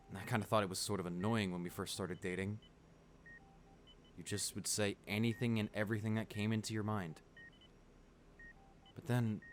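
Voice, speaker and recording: A man playing a wealthy, devoted client pleading at his comatose lover's bedside in an intimate ASMR roleplay, emotional and halting. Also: lowish voice, slight background noise, natural voice. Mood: tender, sorrowful. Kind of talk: ASMR boyfriend roleplay, dramatic monologue.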